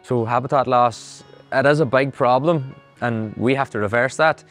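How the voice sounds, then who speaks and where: A man's voice: medium pitch, normal speed, a bit bright, faint music behind. A man in his thirties with a Donegal Irish accent, a farmer talking earnestly and plainly about his farm. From Ireland. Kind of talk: interview monologue.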